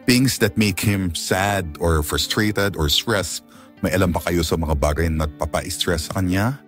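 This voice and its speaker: A man playing a calm physician in a Filipino audio drama, explaining gravely in a clinical, measured way over music. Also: deep voice. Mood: probing. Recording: music bed under the voice.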